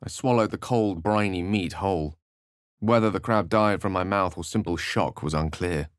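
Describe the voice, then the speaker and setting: Fantasy game wizard played by a man: low English voice, very dry sound, eloquent, measured and theatrical. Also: smooth, dry recording, close to an everyday voice. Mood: ominous, storytelling. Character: learned, eloquent adult male wizard adventurer. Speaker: video game voice actor. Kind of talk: dramatic scripted game dialogue and narration.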